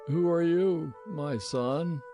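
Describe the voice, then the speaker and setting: An actor playing an aging biblical patriarch, grave and deliberate, in a dramatized scripture recording. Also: male voice, fairly high pitch, muffled recording, over music. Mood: weary, tender. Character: Isaac, solemn aging biblical patriarch father.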